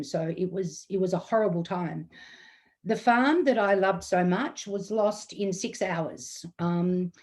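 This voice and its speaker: A woman in her fifties from Australia, telling a personal story in a talk, earnest and close to tears, slightly croaky. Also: medium pitch, darkish tone. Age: 50s. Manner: earnest and emotional, with frequent ums. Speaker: guest storyteller.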